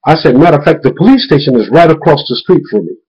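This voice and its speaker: A man's voice: fairly high pitch, big pitch swings, fast talker, breathy edge, dull audio. A man speaking informally to viewers in an emphatic way, warning and retelling, repeating words for stress. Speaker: video blogger warning viewers about a phone scam. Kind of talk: informal spoken warning with retold phone conversations.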